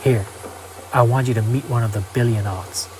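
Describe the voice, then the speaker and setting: A man's voice: mid-range voice, fairly even intonation, normal speed, background noise, lightly acted. A man voicing an urgent, businesslike recruiting agent in a motion comic, speaking insistently and directly. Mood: emphatic. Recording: music under the voice.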